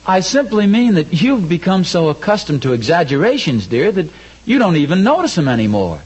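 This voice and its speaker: Fussy exasperated husband in 40s radio sitcom, highish swoopy voice, muffled old recording. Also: male voice, big pitch swings, brisk, darkish tone, breathy edge, full character voice. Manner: earnest, fussy explaining. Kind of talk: 1940s radio comedy acting. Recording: dull vintage radio recording.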